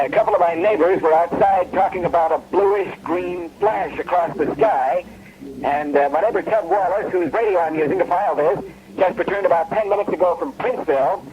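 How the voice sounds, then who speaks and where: Old-school field newscaster on a two way radio, halting, plain delivery, muffled n noisy. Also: male voice, fairly high pitch. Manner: halting, matter-of-fact. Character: veteran male newscaster reporting calmly from small town. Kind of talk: 1970s radio drama mock field report.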